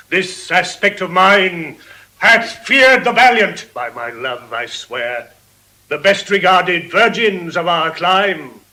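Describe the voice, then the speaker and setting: A proud grandiose prince in a shakespeare play, highish ringing forceful voice, declaims slowly. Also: male voice, full character voice, poor audio. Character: proud, ardent foreign prince, a boastful warrior suitor. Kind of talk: declamatory Shakespearean verse acting.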